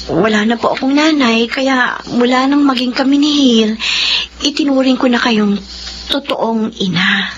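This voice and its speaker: A long-suffering wife pleading with her family in a radio drama, as an actress voices her. Her voice sits high, and the recording is dull with a faint hiss underneath. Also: unhurried, natural voice. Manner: pleading, earnest.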